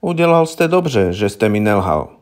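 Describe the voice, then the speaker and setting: A man voicing a wary, gruff old village herbalist woman in an audiobook, with blunt, knowing, rustic colloquial speech. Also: fairly low pitch, big pitch swings. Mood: guarded, softening. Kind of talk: audiobook character voice.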